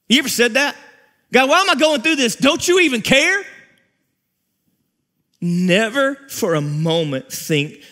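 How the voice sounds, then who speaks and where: A man in his forties preaching a sermon on a large church stage, with repeated phrases, pauses and rising emphasis. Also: on the high side, a bit bright. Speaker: pastor.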